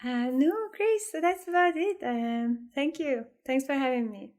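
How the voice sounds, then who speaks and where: A woman's voice: high-pitched, unhurried, a little mellow. A woman in her late twenties from Iran, answering interview questions reflectively and often restarting her sentences. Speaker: interview guest. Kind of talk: conversational interview.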